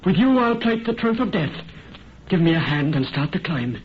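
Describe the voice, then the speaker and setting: An actor playing an ardent, pleading young Irish farmer's son in florid old-time radio melodrama. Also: male voice, a little high, sing-song, normal speed. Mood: impassioned, uneasy. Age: young adult. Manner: impassioned, florid. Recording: muffled, noisy vintage radio recording.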